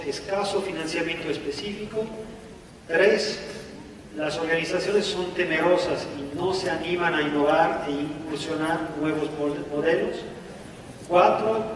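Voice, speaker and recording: Man, measured listing delivery, distant, long hall reverb. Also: on the high side, noisy background. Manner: enumerating, measured. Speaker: session presenter. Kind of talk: reading poll results aloud. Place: large conference hall. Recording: echoey hall, voice from afar.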